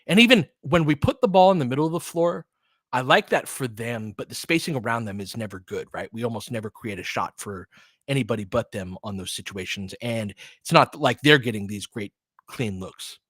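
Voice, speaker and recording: A man giving casual, analytical basketball commentary off the cuff, thinking aloud in a halting, conversational way. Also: mid-range voice, animated, fairly bright tone, no echo. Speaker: audio play-by-play host and basketball analyst. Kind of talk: casual off-the-cuff sports commentary, answering listeners.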